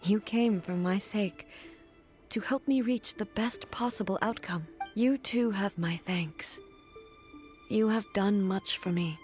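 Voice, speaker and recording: A woman's voice: medium pitch, darkish tone, natural voice. Woman acting a solemn, formal immortal ruler. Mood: solemn, grateful. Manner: formal, measured, earnest. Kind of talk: anime game voice acting. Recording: music behind the voice.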